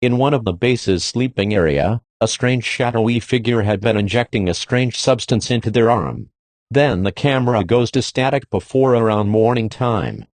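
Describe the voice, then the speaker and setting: A man's voice: medium pitch, normal speed, no room sound. Man reading dry emergency alerts. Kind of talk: scripted emergency alert announcement.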